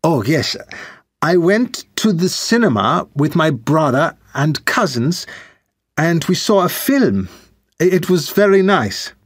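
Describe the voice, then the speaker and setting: A man from Switzerland playing a student in a speaking test, answering slowly with small hesitations. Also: a little high, sing-song, husky, dry recording, studio quality. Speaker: exam candidate. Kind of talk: scripted exam answers.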